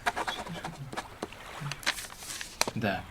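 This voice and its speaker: A man's voice: brisk, a bit bright, slight background noise, lightly acted. A man playing a troubled middle-aged scientist father in a radio play, speaking in a faltering, evasive way. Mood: reluctant, distracted.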